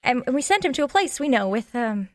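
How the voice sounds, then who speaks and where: Reasonable, placating sidekick investigator for audio comedy, slightly high pitch, dry close recording. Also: female voice, sing-song, a little fast, no room sound. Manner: reasonable, conciliatory. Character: sensible, helpful female sidekick sleuth, quick to smooth things. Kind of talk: comic audio drama acting.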